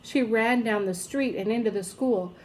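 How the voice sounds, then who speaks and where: A woman teacher reading a children's story aloud in expressive narration, stretching out repeated phrases for emphasis. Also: a little high, normal speed, low-quality recording.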